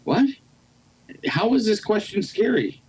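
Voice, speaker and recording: A man acting in an amateur audio play as a deadpan fellow, reading his lines out slowly. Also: high-pitched, slight background noise, rough recording. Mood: mock puzzled.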